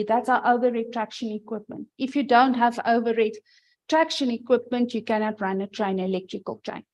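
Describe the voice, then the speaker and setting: A middle-aged woman from South Africa giving a conference presentation in a loud, emphatic voice. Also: fairly high pitch, low-quality recording.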